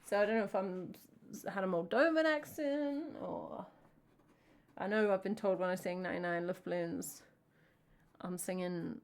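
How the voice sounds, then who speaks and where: A woman's voice: fairly low pitch, normal speed. A woman from England with an English accent, a singer-songwriter chatting casually and self-mockingly between songs on her live stream. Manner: chatty and self-mocking, with soft trailing asides.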